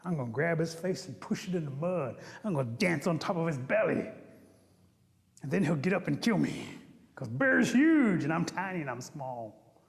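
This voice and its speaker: Man playing a cheeky high-pitched little rabbit trickster. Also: moderate pace, creaky. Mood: fuming. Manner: rude, whining, excitable. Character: small cheeky impatient male rabbit trickster. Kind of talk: oral folktale storytelling. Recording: long hall reverb.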